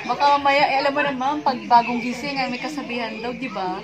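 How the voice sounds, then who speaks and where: A woman's voice: a little high, fairly even intonation, quick pace, music in the background. A woman in her thirties giving a casual, teasing house tour on a handheld phone camera, gushing and giggling as she goes. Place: small cluttered house indoors.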